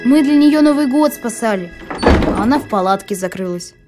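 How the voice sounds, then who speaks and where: Женщина в роли мальчика с высоким голосом. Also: female voice, a little high, lively intonation, moderate pace, close to an everyday voice. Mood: concerned. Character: sensible, polite, organised young boy. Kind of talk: animated cartoon voice acting. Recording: music under the voice.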